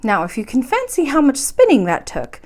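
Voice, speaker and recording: A woman reader plays a kindly magical grandmother from a storybook. She is serene and patient, gently instructive, with a lively rise and fall in her speech. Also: sits high, normal speed. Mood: explaining. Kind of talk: storybook character voice in a read-aloud.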